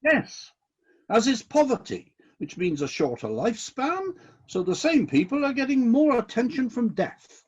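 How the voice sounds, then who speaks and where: A husky-voiced, exacting celestial boss played by an actor in a satirical radio comedy. The delivery is measured and politely insistent, with very wide swings in pitch. The recording is slightly dull. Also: very animated. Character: exacting, fair-minded celestial company boss reviewing complaints. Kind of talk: satirical radio play dialogue.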